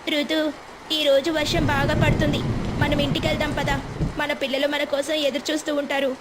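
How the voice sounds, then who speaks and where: Woman voicing a high-pitched, helpful village woman. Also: level tone, normal speed, very noisy, close to an everyday voice. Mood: urgent, helpful. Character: concerned, helpful village woman, a fellow labourer. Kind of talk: moral storybook voice acting.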